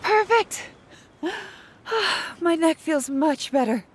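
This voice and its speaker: A woman's voice: extremely high pitch, expressive, extremely slow, a little hiss or hum, heavily acted. An actress voicing a polite, chipper female animal bartender in a video game, with slightly dramatic delivery. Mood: relieved. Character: talking animal bartender, female, apologetic, matter-of-fact, chipper. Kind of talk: video game voice acting, English dub. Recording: music playing behind.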